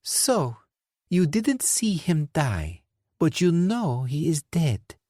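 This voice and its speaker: A cold, mocking crime boss, as a male narrator puts him on, drawing out each pointed question at a slow, deliberate crawl. His voice rides high and swoops around, dripping sarcasm. The recording is close and dry. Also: big pitch swings, mellow, no echo, heavily acted. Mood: sneering, cold.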